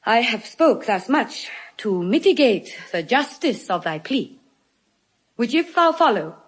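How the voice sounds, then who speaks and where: An eloquent, merciful young lawyer in a Shakespeare audio drama. The voice is fairly high and moves widely in pitch, sinking to a hush for emphasis. The pacing is very slow, with solemn, earnest stage diction. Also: female voice, sing-song, slightly rough, exaggerated voice. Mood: solemn pleading. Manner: measured, earnest, dropping to hushed emphasis.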